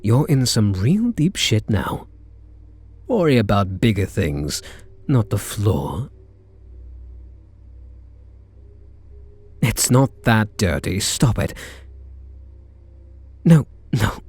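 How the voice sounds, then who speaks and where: A man's voice: on the low side, big pitch swings, takes it slowly, pauses often, darkish tone, slightly rough, exaggerated voice. Man acting a flirty British kidnapper, swooping intonation. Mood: grudging, exasperated. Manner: teasing, flirtatious, murmuring pet names. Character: charming, teasing male kidnapper, softening toward captive. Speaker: ASMR roleplay voice actor. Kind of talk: intimate ASMR roleplay acting.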